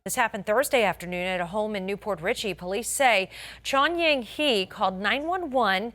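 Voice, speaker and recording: A woman's voice: medium pitch, moderate pace, fairly bright tone. A woman with an American accent anchoring a scripted local TV newscast in a polished way, stressing key words. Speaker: television news anchor.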